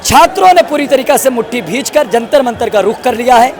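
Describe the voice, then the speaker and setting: A man reporting outdoors at a protest, his voice pitched very high. He asks pointed questions, then sums up earnestly for the viewers. A crowd murmurs faintly behind him. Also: animated, a little fast, faint music behind. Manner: probing questions, then earnest summing up to camera. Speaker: street reporter. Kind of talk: street interview at a protest.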